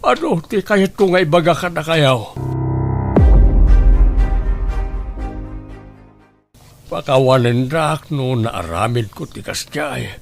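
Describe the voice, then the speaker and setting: An actor playing a remorseful older widower in a radio drama, confessing haltingly and pleading for forgiveness. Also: male voice, a little high, expressive, gravelly, soft background music. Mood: nervous, apologetic. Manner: halting, slow, heavy pleading delivery. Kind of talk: Ilocano radio drama acting.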